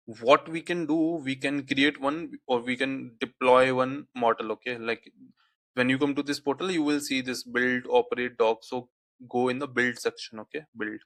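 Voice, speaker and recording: A man whose first language is Hindi, walking through a software tutorial on screen, peppering his speech with "okay, like" fillers. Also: mid-range voice, dry recording. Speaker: tutorial instructor.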